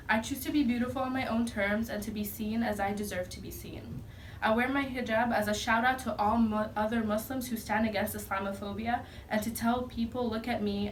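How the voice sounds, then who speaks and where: A teenage girl giving an earnest, measured prepared speech as a student panelist. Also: medium pitch. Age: teens. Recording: distant mic, faint noise.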